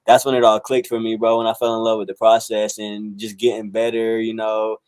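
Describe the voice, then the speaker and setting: A man's voice: lowish voice, monotone, fairly quick, a little mellow, clean tone, dry recording. A man in his twenties from the United States, a musician giving a casual, slangy, rambling interview. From United States, South Carolina and Northern California. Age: 20s. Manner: slangy, rambling, frequent "you know" and "like". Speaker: musician and rapper being interviewed.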